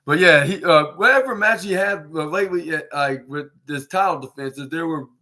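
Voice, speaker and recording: A man's voice: fairly high pitch, normal speed, bright, forceful tone. A man hosting his own podcast, recapping a show in a rambling way with many fillers and restarts. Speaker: podcast host. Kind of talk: casual solo show recap.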